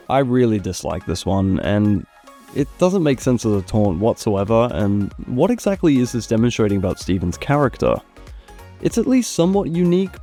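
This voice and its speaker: A man with a fairly low, soft-edged voice narrates a gaming ranking video. His intonation moves up and down a lot as he gives measured, critical opinions from a script. Light music plays behind him. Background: background music.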